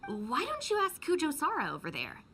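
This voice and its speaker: A sensible young woman deputy in a video game, with a fairly high voice. She is blunt and dryly exasperated, yet moves expressively in pitch over soft music. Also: fairly quick, full character voice. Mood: matter-of-fact. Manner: deadpan, blunt, matter-of-fact.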